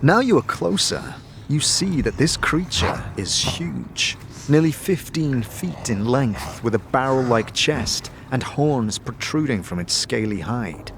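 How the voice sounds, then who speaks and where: A man's voice: mid-range voice, big pitch swings, takes it slowly. A man delivering dramatic scripted narration as the game master of a fantasy story. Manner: descriptive second-person storytelling. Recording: clear background noise, ambience.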